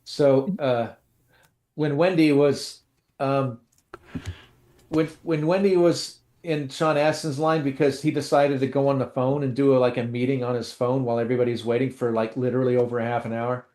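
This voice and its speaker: A man's voice: mid-range voice, steady tone, moderate pace, a little mellow, roomy sound. A man co-hosting a casual chat, telling rambling, self-deprecating stories with lots of restarts. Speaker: co-host.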